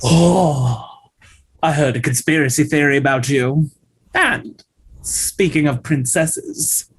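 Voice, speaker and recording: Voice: mid-range voice, slow, husky. An actor reading a campy, arch young male dancer in a table read, with a languid, theatrical delivery.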